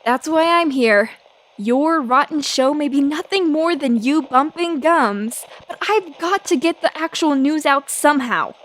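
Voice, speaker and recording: Woman acting as high-pitched, brash girl reporter. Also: lively intonation, measured pace, a bit bright. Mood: insistent. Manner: feisty, snappy period slang. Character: brash, tenacious female newspaper reporter of the 1930s. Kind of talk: old-time radio drama acting.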